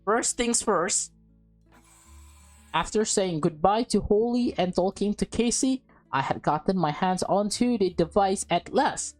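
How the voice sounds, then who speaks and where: A woman's voice: medium pitch, lively intonation, unhurried, a little mellow, soft background music. A woman reading a visual novel aloud as a let's play, switching between narration and casual commentary. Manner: reacts aloud in informal asides.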